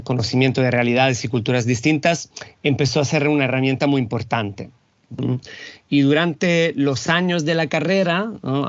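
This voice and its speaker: Italian-born man, thoughtful and measured. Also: medium pitch, no room sound, lo-fi sound. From Italy. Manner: thoughtful, searching for words, measured. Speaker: guest. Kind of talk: reflective interview talk. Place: home, Barcelona.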